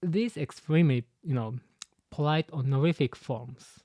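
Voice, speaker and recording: A man with a Japanese accent, a native Japanese speaker, hosting a live-stream commentary and talking haltingly with many fillers and restarts. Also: on the high side, dark tone, no echo. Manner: halting, full of "you know" fillers and restarts. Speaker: channel host and translator.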